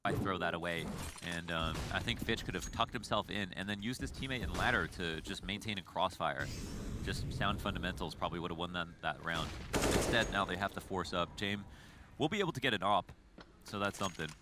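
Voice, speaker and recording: Low voiced guy calling an esports match quick and sharp, breaking down plays. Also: background noise. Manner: fast, analytical play-by-play. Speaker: esports commentator. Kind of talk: live match commentary.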